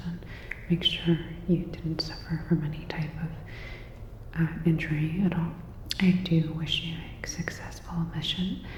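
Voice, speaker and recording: Female ASMR performer for a futuristic robot technician: hushed, breathy whisper, very even and reassuring, drenched in reverb. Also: low-pitched, a little hiss or hum. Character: calm, caring futuristic robot repair technician. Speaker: ASMR roleplayer playing a robot repair technician. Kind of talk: ASMR sci-fi personal-attention roleplay. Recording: dull, echoing sound with long reverb.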